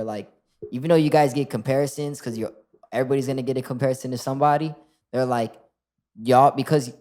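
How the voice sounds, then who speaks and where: Casual young male rapper, mid-range voice, relaxed and rambling. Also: medium pitch. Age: 20s. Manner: relaxed, lots of "like" and restarts. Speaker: rapper guest. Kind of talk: casual interview chat.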